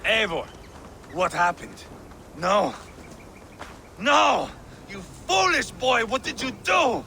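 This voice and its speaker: A man playing a fierce, vengeful Viking warlord with a gravelly voice and an intense manner, in a video game. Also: sits high, sing-song, slow pace, slight background noise, heavily acted. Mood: alarmed, anguished. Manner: intense, swinging from hushed to roaring. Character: fierce, vengeful male Viking warlord, hot-tempered and grieving.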